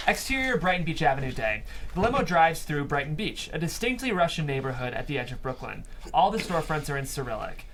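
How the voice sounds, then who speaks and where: A man narrating stage directions in an animated way at a script table read. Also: a little high, quick pace, few pauses. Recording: room recording with faint noise.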